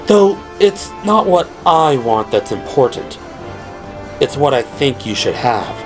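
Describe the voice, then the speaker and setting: A man playing a suave, mysterious man in his forties with a low, musical voice and an unhurried, courtly, cryptic way of talking in an audio drama. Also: very animated, over music. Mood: amused, courteous. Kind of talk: audio drama character dialogue.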